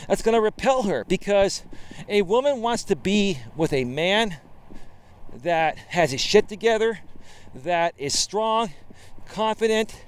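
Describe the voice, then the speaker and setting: Smooth-voiced coach in his forties. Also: high voice, animated, a little slow. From Bay Area, California. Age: 40s. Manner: emphatic, keeps tagging "right?". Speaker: dating coach. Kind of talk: walking vlog monologue. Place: outdoor trail.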